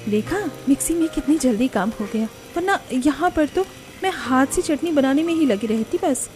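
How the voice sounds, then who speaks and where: A woman's voice: high voice, expressive, moderate pace, a little mellow, faint music behind. A woman playing a dutiful, privately grumbling young daughter-in-law in a cartoon family drama. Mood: relieved.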